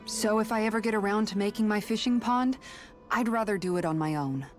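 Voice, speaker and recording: A gentle, caring young woman from a fantasy game, as an actress voices her. She talks softly and reflectively, a little shy about her own wishes. Faint background music sits under her voice. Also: mid-range voice, a little fast, natural voice. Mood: warm. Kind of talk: game cutscene dialogue.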